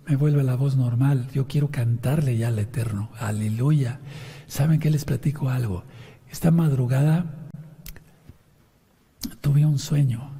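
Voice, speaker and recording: A man preaching and teaching from scripture in a hoarse voice, addressing his listeners directly with questions and instructions. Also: fairly high pitch, warm tone. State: hoarse voice from sinusitis after an operation. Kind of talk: sermon with scripture reading and commentary. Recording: long reverberant hall sound.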